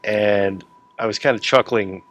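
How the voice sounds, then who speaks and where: A man's voice: on the low side, steady tone, a little slow, a bit bright, dull audio. A man speaking casually as a novelist guest in a podcast interview. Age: young adult to middle-aged, past 28.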